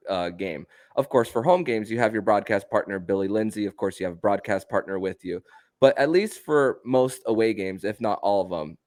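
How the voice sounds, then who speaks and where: A man's voice: on the low side, dry recording, clean studio sound. A man speaking as the host of a sports podcast. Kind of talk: podcast interview.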